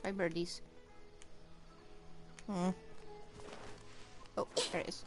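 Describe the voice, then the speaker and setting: A woman's voice: fairly low pitch, little pitch movement, takes it slowly, over loud music. Dry, musing woman streamer. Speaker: game streamer. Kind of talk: casual live gameplay commentary.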